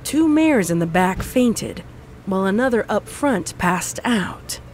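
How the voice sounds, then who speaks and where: A woman's voice: medium pitch, animated, slow pace, very noisy. A woman reading scripted story narration.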